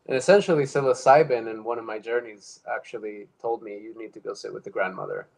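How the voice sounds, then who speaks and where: A man's voice: fairly low pitch, poor audio. A young man from Ecuador, a guest telling his personal story in a casual conversation, thoughtful and full of fillers and restarts. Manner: reflective, many fillers and restarts.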